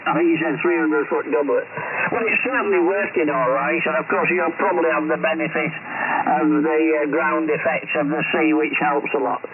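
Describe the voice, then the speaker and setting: Older guy on ham radio, bit high voiced, halting n unhurried, tinny shortwave w static. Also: fairly even intonation. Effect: shortwave radio transmission. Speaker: amateur radio operator. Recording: noisy narrowband shortwave radio signal.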